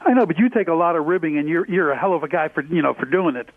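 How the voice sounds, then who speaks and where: A man's voice: on the high side, racing, dry recording. A man calling a radio show by phone and chatting casually. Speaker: caller. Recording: narrow dry phone line.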